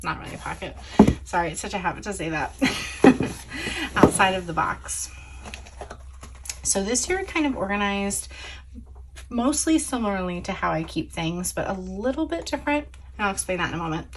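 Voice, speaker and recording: A hoarse woman recovering from illness, chatting casually as she shows her craft supplies at her desk, rambling with fillers and self-corrections. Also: mid-range voice, expressive, moderate pace, long pauses, noisy background. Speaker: scrapbooking crafter showing supplies. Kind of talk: casual show-and-tell chat.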